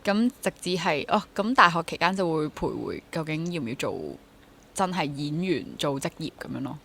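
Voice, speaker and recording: Female interview guest, twenties, lowish voice, Hong Kong Cantonese accent, chatty and candid. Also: lively intonation, moderate pace, creaky, fairly bright tone, slightly rough. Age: 20s. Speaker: guest, stage actor. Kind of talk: casual interview chat.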